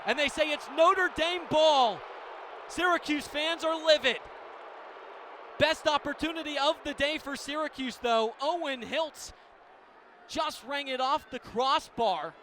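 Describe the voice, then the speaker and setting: High-voiced American man calling live sports. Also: measured pace, pushed and bright, slight background noise. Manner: clipped, descriptive phrasing. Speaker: play-by-play radio commentator. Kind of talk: live lacrosse radio commentary.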